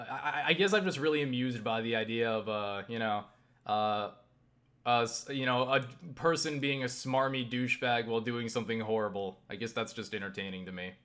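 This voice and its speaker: A man talks off the cuff in a rambling vlog. His voice sits at an average pitch with a bright, forceful edge. He keeps breaking off and looping back mid-thought, cursing casually as he goes. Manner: halting, self-interrupting, circling back with repeated "you know"; casually profane. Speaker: YouTube vlogger.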